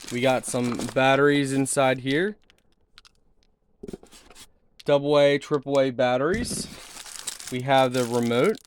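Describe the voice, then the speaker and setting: A man giving a casual product unboxing and demo in an easygoing, approving, informal way. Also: mid-range voice. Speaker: product reviewer. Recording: noticeable background noise with handling clicks.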